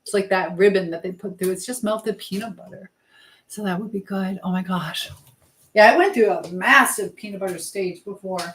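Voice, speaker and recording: A woman's voice: medium pitch, normal speed, fairly bright tone. A woman hosting a casual live stream while busy in the kitchen, chattily talking to herself and to her viewers and reading out comments. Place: indoors in a kitchen. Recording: echoey room with clear background noise.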